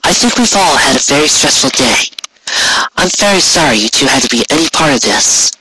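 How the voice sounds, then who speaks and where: A man voicing a calm, regal, motherly pony princess in a storybook reading, gentle and reassuring, with noise on the recording. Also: a little high, brisk, natural voice. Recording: clearly noisy amateur recording with clicks.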